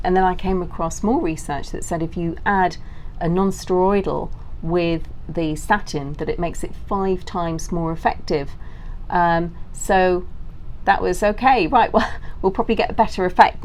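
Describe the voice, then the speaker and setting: British woman chatting in an interview, low pitched, rambly explainer, bit of background noise. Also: darkish tone, low-quality recording. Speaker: interview guest.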